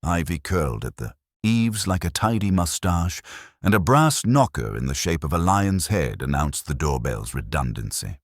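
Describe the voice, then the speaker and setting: A man narrating a mystery story in a dry, measured, wry manner. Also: rumbling low, sing-song, dry recording.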